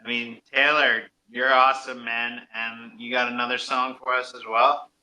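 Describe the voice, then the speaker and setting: A man's voice: medium pitch, flat delivery, moderate pace, pushed and bright, rough recording. A man hosting a music show, enthusiastic and slangy, casually hyping up his guest. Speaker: radio show host. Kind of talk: casual hype, promoting a guest.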